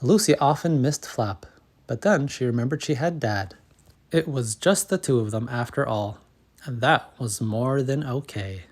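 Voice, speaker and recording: A man narrating a children's storybook as host, in a measured, expressive read-aloud manner. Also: medium pitch. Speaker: storybook reader and channel host.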